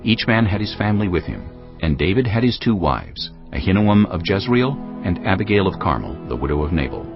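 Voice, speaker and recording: Very deep male narrator, expressive. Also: fairly quick, muffled recording, faint music behind. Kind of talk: scripted dramatic scripture narration.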